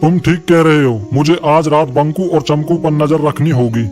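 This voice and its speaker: Man voicing a dutiful male cartoon animal, fairly high voice, serious and earnest, worried investigator, faint music. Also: normal speed, darkish tone, natural voice. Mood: firm. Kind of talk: children's cartoon dialogue.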